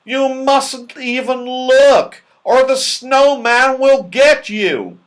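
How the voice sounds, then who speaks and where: High, excitable magical moon man voiced by a man. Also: extremely slow, heavily acted. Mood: frantic alarm. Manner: exclamatory, loud, earnest. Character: excitable, toffee-loving round-faced magical moon man.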